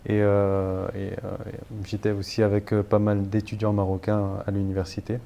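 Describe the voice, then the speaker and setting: A man in his thirties from northern France speaks in a low, mellow voice as an interview guest. He pauses often, restarting sentences and filling gaps. A faint hiss sits under the recording. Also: monotone, creaky. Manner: hesitant, many fillers and restarts. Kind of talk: interview, personal story.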